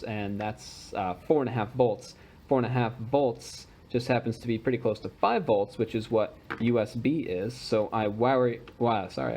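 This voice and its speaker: A man's voice: mid-range voice, darkish tone. A man explaining and demonstrating a homemade gadget in an informal, unpolished, conversational way, like a hobbyist.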